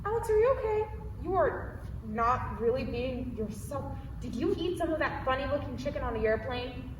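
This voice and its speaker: A woman's voice: high-pitched, lively intonation, moderate pace. A young woman acting in a student stage play as an exasperated, guilt-ridden girlfriend who rants and swears. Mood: puzzled, weary. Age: young adult. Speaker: student actor. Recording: echoey hall, distant mic, noisy.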